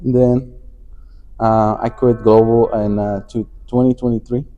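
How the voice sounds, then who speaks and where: Halting man from Afghanistan, conference interview. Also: mid-range voice, level tone, warm tone, lo-fi sound. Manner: halting, many fillers and restarts. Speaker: guest, lending company CEO. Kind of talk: casual interview chat. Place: conference venue.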